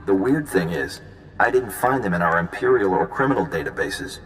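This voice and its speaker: An actor playing an easygoing, smooth-talking, flirtatious male intelligence agent in a video game, casual and breezy. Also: deep voice, big pitch swings, normal speed, fairly bright tone. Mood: businesslike. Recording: long reverb, faint background noise.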